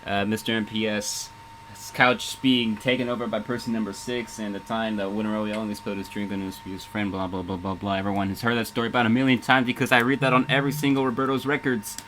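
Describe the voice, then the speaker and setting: Bright-voiced man hosting casual music radio. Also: fairly low pitch, little pitch movement, fast, slight background noise, rough recording. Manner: rambling, joking, self-deprecating. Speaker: radio show host. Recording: music playing faintly underneath. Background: faint music.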